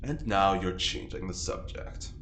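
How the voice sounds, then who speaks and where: A man's voice: low-pitched, expressive, measured pace, a bit bright. A man playing a wry, wordy, teasing fellow in an audio drama, articulate and theatrical. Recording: echoey room, faint noise.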